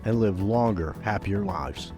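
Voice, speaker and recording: A man's voice: fairly low pitch, measured pace, a little mellow. A man co-hosting a podcast, reading a scripted show introduction in an announcer-like way. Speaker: podcast co-host. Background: faint music.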